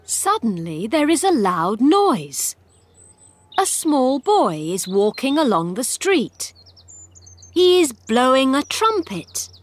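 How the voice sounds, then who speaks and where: A woman storyteller reads simple scripted narration for English learners. Her voice is fairly high and animated, with slow deliberate phrasing, over faint background noise. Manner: deliberate, expressive.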